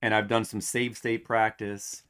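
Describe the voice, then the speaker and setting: A man in his forties with a somewhat low voice streams a game from home and chats loosely with his viewers. He rambles, mocks himself, and keeps talking right at the audience. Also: flat delivery, unhurried, a bit bright, a little hiss or hum. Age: 40s. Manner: rambling, self-mocking, talks straight to viewers. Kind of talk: casual live-stream chat to viewers.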